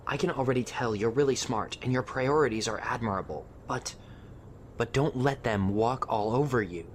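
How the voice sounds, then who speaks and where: Guy playing protective teen boy, earnest n soft, audio drama, bit of background noise. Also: mid-range voice, normal speed, close to an everyday voice. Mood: reassuring.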